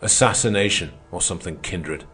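A man's voice: sits low, a little slow, breathy edge. A man voicing a fiery, defiant socialist orator who denounces his opponents in a thundering, declamatory way. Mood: sneering. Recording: music playing underneath.

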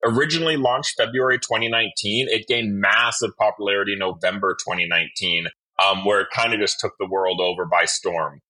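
A man's voice: lowish voice, fairly even intonation, fairly quick, sharp tone, breathy edge, rough recording. A man hosting a podcast interview in a conversational style. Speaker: podcast host.

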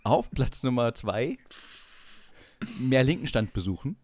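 A man's voice: medium pitch, lively intonation, unhurried, darkish tone, no echo. A man co-hosting a comedy podcast in casual banter, teasing and announcing things with mock solemnity.

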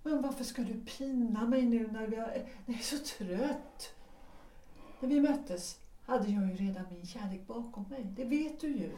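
An actress voicing Gertrud, a tired wife leaving her husband, speaking quietly and with resignation in an audio drama. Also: on the high side, distant mic, slight background noise, natural voice. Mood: weary resolve. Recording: roomy, off-mic.

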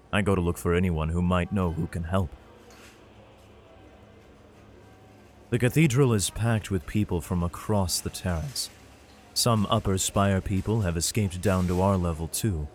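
Low-voiced, grave father in a sci-fi drama acted by a man. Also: moderate pace, a little mellow, slight background noise, lightly acted. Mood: weary, tense. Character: weary father fleeing with his son through a besieged hive city. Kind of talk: first-person audio drama narration with acted dialogue. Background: music.

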